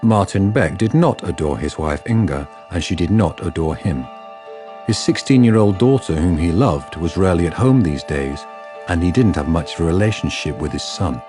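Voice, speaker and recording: A man's voice: deep voice, expressive, moderate pace, dark tone. A man reading scripted literary narration for a radio drama. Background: music.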